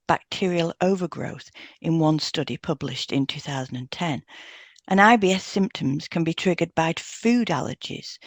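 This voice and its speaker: A woman's voice: deep voice, dry recording. Lady podcast co-host reading facts off a script, informative, really dry sound no room. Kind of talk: scripted educational podcast, read aloud.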